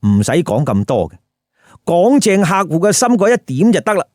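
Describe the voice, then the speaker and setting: Animated Hong Kong Cantonese male storyteller. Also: sits high, moderate pace, dry recording, clean studio sound. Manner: colloquial, emphatic, rhetorical questions. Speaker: storyteller host. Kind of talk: comic storytelling with commentary.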